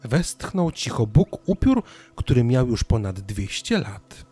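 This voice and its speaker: A man narrating a scripted children's story as an expressive storyteller. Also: medium pitch, slow, slightly rough, soft background music.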